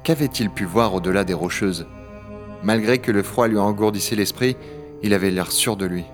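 A man's voice: on the low side, moderate pace, faint music behind, lightly acted. A man playing a sceptical dragon hunter who also narrates in a science-fiction audio drama, blunt, sardonic and colloquial. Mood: weary, reflective. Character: Owen, gruff, sceptical male dragon hunter. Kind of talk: French audio drama acting and first-person narration.

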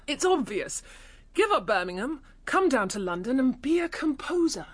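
A woman's voice: high voice, sing-song, normal speed, breathy edge, full character voice. An actress playing a forthright, defiant young bohemian woman in a radio drama. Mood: brisk, indignant.

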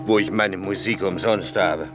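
A man's voice: sits low, moderate pace, music in the background, natural voice. An actor playing a bossy, self-important drunken servant who fancies himself king, pompous and commanding in a classical German radio play. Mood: grandiose.

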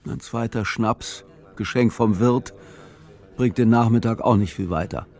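A man narrating a literary audio drama in measured, clipped, matter-of-fact phrasing. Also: fairly low pitch, darkish tone. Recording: music behind the voice. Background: music.

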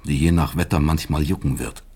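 Deep, raspy, disgraced professor. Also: male voice, a little mellow. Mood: sardonic consolation. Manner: measured, sardonic lecturing. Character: disgraced, bitter male professor, philosophical and resigned. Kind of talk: German radio play acting.

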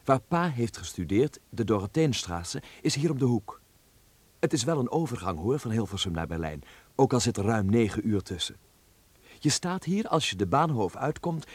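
An actor playing a wide-eyed twenty-one-year-old man, reading his letter home in an expressive, wry and affectionate way for a radio drama. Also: mid-range voice, moderate pace, breathy edge, no echo. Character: excited, wide-eyed young Dutch son abroad, twenty-one.

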